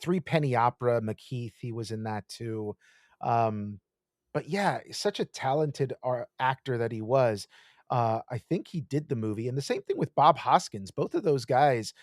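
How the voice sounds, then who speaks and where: A man co-hosting a podcast, gushing with animated enthusiasm and swearing often in casual chat. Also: mid-range voice, moderate pace, dry recording, clean studio sound. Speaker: podcast co-host.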